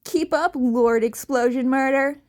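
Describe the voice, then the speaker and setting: A woman's voice: high-pitched, unhurried. Brash, commanding superhero woman read by a woman. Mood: urgent, taunting. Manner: commanding, curt, teasing. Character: bossy, brash adult female superhero mentor.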